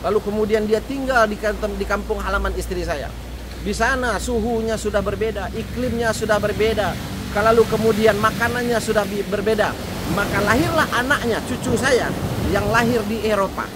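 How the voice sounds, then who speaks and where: A man preaching a sermon to a congregation. He speaks earnestly, rising louder and higher on key words and then dropping low. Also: normal speed. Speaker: Islamic preacher. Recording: loud steady background noise.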